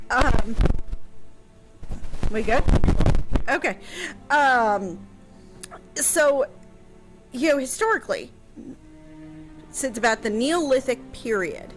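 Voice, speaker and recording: A woman talking as a historian on a casual show, explaining things in a hesitant, halting way. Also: on the high side, animated, music in the background, low-quality recording. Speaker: historian guest answering questions on a radio show.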